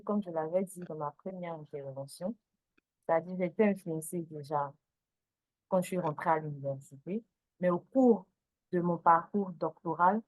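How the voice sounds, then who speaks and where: A woman speaking as a consultant on a panel, formal and deliberate. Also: mid-range voice, no room sound, rough recording. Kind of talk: panel discussion.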